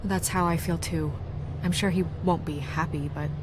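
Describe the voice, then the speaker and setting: An actress playing a composed, dutiful woman official in a video game, measured, businesslike and firm. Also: on the low side, fairly even intonation, very noisy, close to an everyday voice. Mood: serious, measured. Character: composed, dutiful, practical woman official in dark fairy-tale town. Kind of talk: naturalistic video game cutscene acting.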